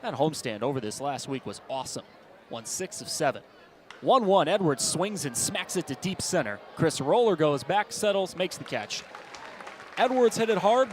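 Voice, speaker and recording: An American man doing live baseball play-by-play in clipped, present-tense calls, built to swell on big plays. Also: fairly high pitch. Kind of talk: live sports commentary. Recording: ballpark ambience behind the voice. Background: outdoor stadium background noise.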